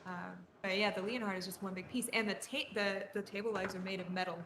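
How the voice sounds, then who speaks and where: A woman gives relaxed, knowledgeable color commentary on a table soccer match. Her voice sits in a middle pitch. Clear venue noise runs underneath her. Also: fast talker. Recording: live venue noise behind.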